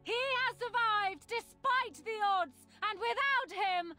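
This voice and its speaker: A proud queen declaims a stirring speech to her soldiers in a fantasy game. Her voice is very high, bright and forceful, delivered slowly and regally over background music. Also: full character voice. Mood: proud, rousing. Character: proud widowed queen rallying troops before battle.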